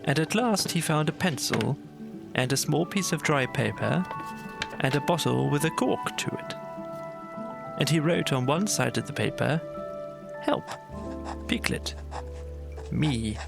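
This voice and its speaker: A man narrating a scripted bedtime story as an audiobook storyteller, in a gentle, measured way. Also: fairly high pitch, animated, gravelly, music in the background.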